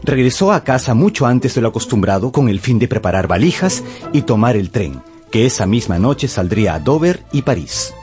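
A man's voice: mid-range voice, big pitch swings, normal speed, darkish tone, faint music behind. A man narrating a radio novel as a scripted, dramatic storyteller.

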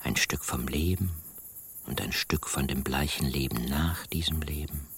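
An actor playing a calm, brooding rural man who narrates an eerie radio drama in an unhurried, ominous, deliberate way. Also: rumbling low, slightly rough, exaggerated voice. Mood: quietly menacing. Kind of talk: radio drama narration, literary first person.

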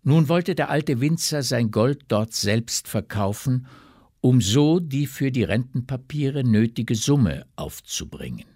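A man's voice: medium pitch, big pitch swings, slow pace, a little mellow, husky, no room sound, studio quality. A man reading scripted literary narration in a radio drama.

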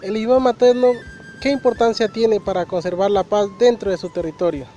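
Brief: high, mellow-toned male community reporter, faint music behind. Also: steady tone, fairly quick, lo-fi sound. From Colombia. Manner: plain, asks questions. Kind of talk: community radio interview.

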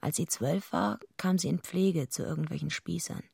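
Tough young narrator for radio drama: creaky lowish voice, dry wit, close dry recording. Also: female voice, steady tone, moderate pace, darkish tone, no room sound, full character voice. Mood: wry, matter-of-fact. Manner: dry, matter-of-fact. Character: tough, streetwise young woman wrestler, wry narrator.

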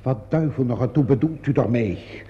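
A man's voice: medium pitch, moderate pace, lightly acted. A man acting a hot-tempered, haughty murder suspect in a radio play, blustering and emphatic with outrage. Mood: outraged. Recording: muffled, echoing old radio play recording.

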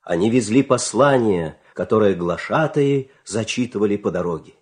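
Expressive male fairy-tale narrator. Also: on the low side, moderate pace, slightly rough. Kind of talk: scripted fairy-tale narration, dramatic storytelling. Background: music.

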